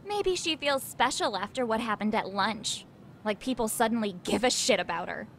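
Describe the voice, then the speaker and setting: Sharp, sneering school bully girl. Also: fairly high pitch, lively intonation, moderate pace, slight background noise. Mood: contemptuous. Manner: sneering, taunting. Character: spiteful, domineering high school bully girl. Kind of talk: video game English dub dialogue.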